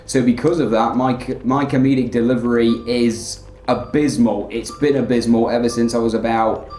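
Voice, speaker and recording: A man giving rambling, filler-heavy let's play commentary in a flat, monotone way. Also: medium pitch, normal speed, roomy sound, soft background music. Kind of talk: casual gameplay commentary.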